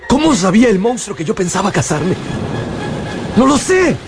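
A man's voice: high voice, sing-song, moderate pace. An actor playing an anguished, haunted man who recounts his ordeal in a theatrical, fearful radio drama narration. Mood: anxious, bewildered. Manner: theatrical, fearful, repeats words for emphasis. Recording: studio radio drama with music bed.

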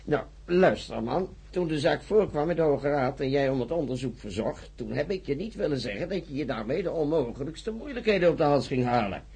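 Raspy, animated old professor. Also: male voice, a little high, fairly quick, few pauses, heavily acted. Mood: lecturing. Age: older. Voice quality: raspy, gravelly. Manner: bluff, chuckling, lecturing, peppered with interjections. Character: bluff, jovial, blunt old male university professor. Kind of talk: radio drama acting.

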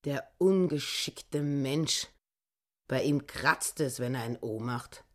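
An actor plays an indignant housekeeper wife in a literary radio play. The delivery is pointed and full of complaint, sitting at a medium pitch, with a grasping, scolding temper. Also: slow, close to an everyday voice. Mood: scornful.

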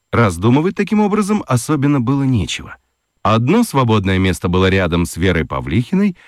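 A man narrating a children's radio play in a gently ironic, highly expressive storytelling manner. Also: dry recording, clean studio sound.

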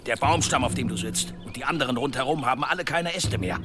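An actor playing a gruff, impatient village blacksmith in a historical radio play, blunt, earthy and irritable. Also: male voice, mid-range voice, quick pace, background noise, natural voice. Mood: grim.

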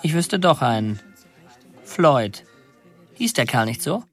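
A man's voice: mid-range voice, big pitch swings, takes it slowly, faint music behind. An actor playing an uneasy, brooding young male ex-convict in a radio play. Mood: skeptical. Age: young adult.

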